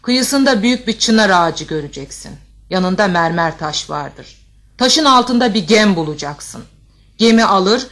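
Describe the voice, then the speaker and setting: A woman plays a giant ogress from a fairy tale. She is motherly and protective, yet commanding. Her mid-pitched voice gives measured, wise instruction. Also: a bit bright. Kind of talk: Turkish fairy-tale audio drama.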